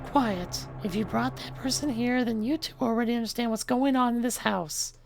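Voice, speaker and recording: Man acting out a high-voiced girl, cold, flat, decisive, horror visual novel, faint background noise. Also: normal speed, gravelly. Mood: cold, calm.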